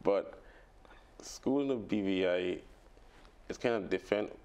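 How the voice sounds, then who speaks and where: Brief: young man, very low and gravelly voice, halting and laughing as a radio guest. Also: a little mellow. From British Virgin Islands. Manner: halting, laughs often, many fillers. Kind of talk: casual radio interview. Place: radio studio.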